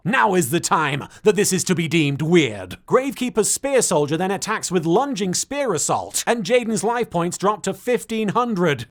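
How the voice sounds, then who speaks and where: Wry, high-voiced man. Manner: wry, sarcastic. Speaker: host of an anime recap series. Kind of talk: comic scripted recap narration.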